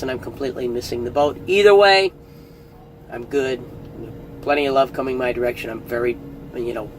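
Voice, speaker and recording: A man's voice: mid-range voice, slight background noise, low-quality recording. A middle-aged man giving a casual, earnest spoken review, chatty and often restarting phrases. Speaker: audiobook reviewer.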